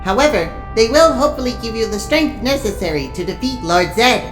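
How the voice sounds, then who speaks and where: A woman's voice: on the high side, expressive, a little fast, natural voice. A woman playing a helpful robot assistant in a superhero audio drama, explaining things precisely and formally. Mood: earnest briefing. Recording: echoey room with music behind.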